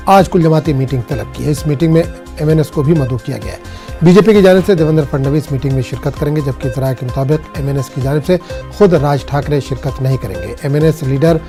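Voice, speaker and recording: A man's voice: fairly high pitch, brisk, warm tone, soft background music. A man anchoring the news, reading scripted reports and putting questions to a reporter.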